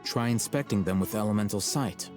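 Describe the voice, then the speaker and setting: An actor playing an aloof, self-assured young scholar in a video game, speaking in a measured, blunt, dry way. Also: male voice, on the low side, close to an everyday voice. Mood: detached, explanatory. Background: music.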